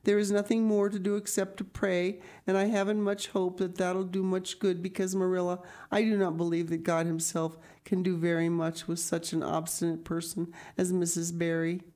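A woman's voice: lowish voice, flat delivery, normal speed, few pauses, warm tone, voice pushed up, close to an everyday voice. A woman voicing a dramatic, romantic young orphan girl in a storybook, earnest and tearful, with flowery old-fashioned phrasing. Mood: indignant despair. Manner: flowery, archaic, theatrical phrasing. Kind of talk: audiobook character reading.

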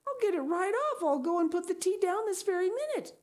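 A woman's voice: extremely high pitch, sing-song, brisk, darkish tone, pitched above the performer's own voice. A woman voicing a talkative, earnest young girl in a storybook, gushing and melodramatic, easily distressed. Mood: urgent, pleading. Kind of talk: storybook character voice.

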